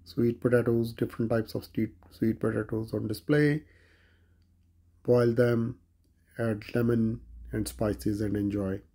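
A man's voice: mid-range voice, steady tone, a little slow, dark tone, husky. A man vlogging a walk through a large grocery store, plainly listing produce and prices. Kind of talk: grocery store walkthrough narration.

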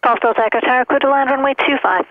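An air traffic controller giving clipped, procedural instructions in rapid phraseology over an aviation radio. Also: high voice, dry recording. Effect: aviation radio transmission. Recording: tinny narrowband aviation radio.

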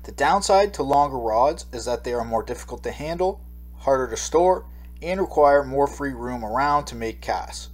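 A man's voice: mid-range voice, animated, moderate pace, a little mellow. A man narrating a slideshow lesson as a fishing instructor, explaining steadily with occasional fillers. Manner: explanatory, reads steadily with occasional ums and uhs. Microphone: voice-over.

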